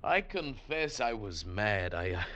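A man's voice: fairly low pitch, big pitch swings, slow, a bit bright, dull audio, exaggerated voice. An actor playing a desperate, hot-tempered trapped man, in a theatrical mid-century radio drama style. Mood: haunted narration. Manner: intense, theatrical. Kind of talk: 1940s-50s radio drama acting and narration. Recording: old radio broadcast with music underneath.

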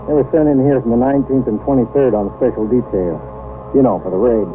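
A man playing a busy American police desk sergeant in a 1950s radio drama, brisk, colloquial and respectful to his captain. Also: medium pitch, over music, natural voice. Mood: businesslike. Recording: noisy, narrow 1950s radio recording.

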